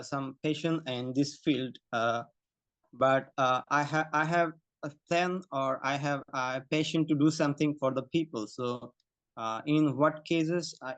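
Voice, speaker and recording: A man's voice: mid-range voice, no room sound. A young man from Bangladesh with a Bangladeshi accent, answering exam questions over a video call with many fillers and restarts. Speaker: test candidate. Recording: slightly muffled video call audio.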